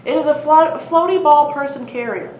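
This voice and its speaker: Woman as a pompous, high-voiced stegosaurus professor. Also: fairly quick, a little mellow, lightly acted. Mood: brisk. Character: learned, brisk male stegosaurus professor, slightly pompous. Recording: roomy, dull lo-fi, faint noise.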